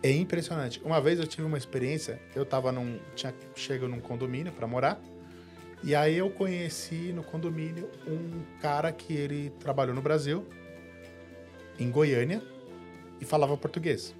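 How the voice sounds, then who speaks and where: A man from Brazil co-hosting a casual podcast chat, telling lively stories and acting out the people in them. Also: a little high, moderate pace, music in the background. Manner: animated storyteller, acts out quoted voices. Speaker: podcast co-host.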